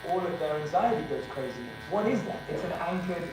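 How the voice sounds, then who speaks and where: A man you would hear hosting a self-improvement podcast chat, earnest and agreeable, a little far from the mic with a clear electric hum around him. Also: on the high side, animated, brisk. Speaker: interviewer, podcast host who coaches clients. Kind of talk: conversational interview. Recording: echoey room, distant mic, electric buzzing behind.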